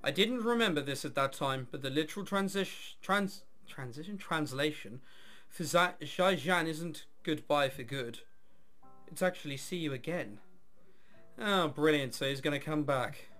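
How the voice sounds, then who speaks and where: A man's voice: a little high, lively intonation, normal speed, a bit bright, soft background music. A man reading a visual novel aloud, mixing dramatic narration with casual, offhand commentary. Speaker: video game let's player.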